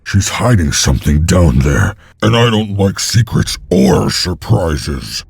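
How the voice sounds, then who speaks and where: Gravelly, swooping, cruel slave driver. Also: male voice, deep voice, big pitch swings, takes it slowly, no room sound, clean studio sound, full character voice. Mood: sly, coercive. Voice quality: gravelly, husky. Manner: menacing, swooping between sneer and bellow. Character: cruel bullying slave-driver overseer, male, scheming and threatening. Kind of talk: video game cutscene acting. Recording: music behind.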